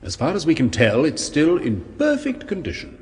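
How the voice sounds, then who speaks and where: An apprehensive male doctor in a seventies radio play. He is clinical yet soothing and hesitant, with swooping intonation, long reverb and clear background noise. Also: on the high side, huge pitch swings, moderate pace, a little mellow. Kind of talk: 1970s radio drama acting.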